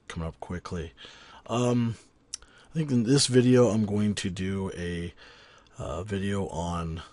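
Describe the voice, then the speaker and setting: A man teaching audio cleanup in a casual tutorial, rambling with frequent ums and restarts, his voice raspy from a cold. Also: sits low, darkish tone. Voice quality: husky. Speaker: sound engineer teaching a tutorial. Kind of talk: casual screen-recorded software tutorial.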